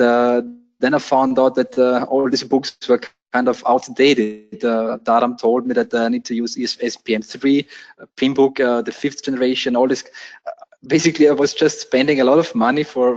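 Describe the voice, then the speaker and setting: A man's voice: mid-range voice, lo-fi sound. A man from Austria with an Austrian German accent, a German native speaker talking casually and hesitantly as a guest on a webinar, with many fillers and restarts. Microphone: webinar call audio.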